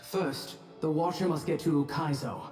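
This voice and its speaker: An actor playing a stately, proud god with a formal, measured and weighty manner. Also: male voice, on the high side, darkish tone, close to an everyday voice. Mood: proud, grave. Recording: echoing voice over faint music.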